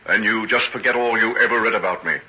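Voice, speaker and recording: An actor playing an ardent, tormented, wryly teasing doctor in an old-time radio drama, with theatrical, genteel diction. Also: male voice, lowish voice, fast, sharp tone. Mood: brisk, wry. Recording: dull, faintly noisy vintage radio recording.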